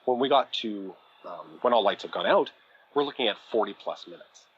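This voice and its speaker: A man's voice: fairly low pitch, expressive, brisk, muffled recording, a little hiss or hum. A man, an audio drama producer and writer, chatting as a podcast guest in a rambling, self-deprecating way full of fillers and small laughs. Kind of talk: casual interview chat.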